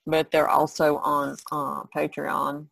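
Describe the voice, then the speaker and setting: A woman's voice: deep voice, completely flat delivery, slow, creaky, fairly bright tone, no room sound. A woman co-hosting a podcast in casual chat, with a rambling, easygoing way of talking. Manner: rambling, easygoing, giggles often. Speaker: podcast co-host.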